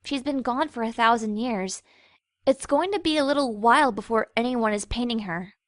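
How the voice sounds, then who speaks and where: Woman voicing a high-voiced wry cartoon pony. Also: moderate pace, fairly bright tone, no room sound, studio quality, natural voice. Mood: curious. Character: sensible, wry young female pony, gently scolding. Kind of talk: cartoon fan fiction voice acting.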